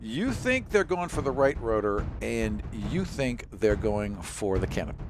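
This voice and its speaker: A man's voice: mid-range voice, sing-song, measured pace. A man running a tabletop game as game master, narrating the action casually. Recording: music under the talk.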